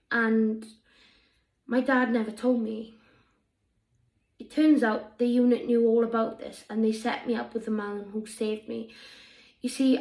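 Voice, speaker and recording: A woman plays an earnest Welsh teenage alien girl in an improvised monologue. She speaks slowly and haltingly in a fairly high voice, looking back reflectively on her past. The room adds some echo. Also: creaky, a little mellow.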